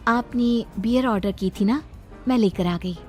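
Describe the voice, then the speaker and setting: A woman's voice: on the high side, measured pace, darkish tone, faint music behind, voice pushed up, lightly acted. Woman putting on a seductive, vengeful female ghost. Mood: coy, flirtatious.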